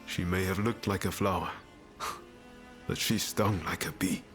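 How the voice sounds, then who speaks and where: Low-voiced, easygoing young samurai. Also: male voice, fairly even intonation, measured pace, a bit bright, faint music behind. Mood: reflective, warm. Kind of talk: video game cutscene dialogue.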